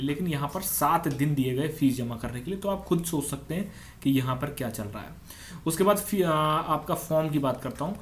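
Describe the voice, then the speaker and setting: Male exam coach, fairly high voice, conversational explainer, repeats key points, faint background noise. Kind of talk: informal explainer for exam aspirants.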